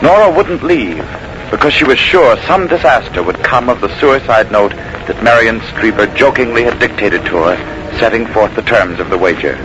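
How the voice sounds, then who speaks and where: A man's voice: medium pitch, expressive, moderate pace. A man narrating a 1940s radio drama in a grave, formal, dramatic style. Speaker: announcer, narrator. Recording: noisy vintage 1940s radio recording.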